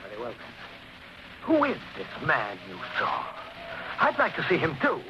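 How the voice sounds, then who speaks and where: A man's voice: a little high, wildly swooping pitch, normal speed. A man playing an agitated, bullying stepfather who questions accusingly, in old-time radio suspense style. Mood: menacing. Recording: muffled, noisy 1940s radio recording.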